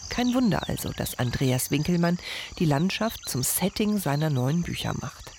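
A woman reporter reading a scripted radio feature in a lively, measured way. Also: sits low, noisy background.

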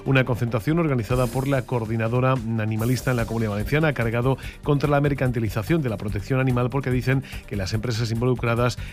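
Guy reading the radio news, mid voice, steady and formal. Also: mid-range voice. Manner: measured, formal newsreader delivery.

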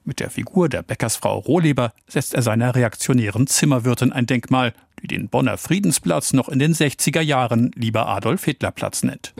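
A man reading a scripted radio obituary in a measured, even way, recorded on a studio microphone. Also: medium pitch, vocal fry, dry recording. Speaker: radio reporter.

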